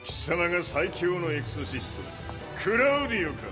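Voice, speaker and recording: A man voicing a ruthless, proud old warlord in a video game, with gruff, imperious, old-fashioned elder speech. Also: high-pitched, sing-song, fast, music in the background. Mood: defiant, commanding. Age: older. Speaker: game character voice actor. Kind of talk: dramatic Japanese game cutscene acting.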